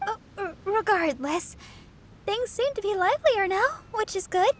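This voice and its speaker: A woman's voice: very high voice, expressive, unhurried. A woman voicing a sweet young girl adventurer in a video game, with a mild, hesitant manner. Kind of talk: video game cutscene acting.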